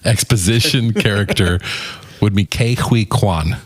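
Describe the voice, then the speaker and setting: A man's voice: fairly low pitch, measured pace, husky, no room sound. A man co-hosting a casual movie review chat, speaking informally and jokily with casual swearing. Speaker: podcast co-host.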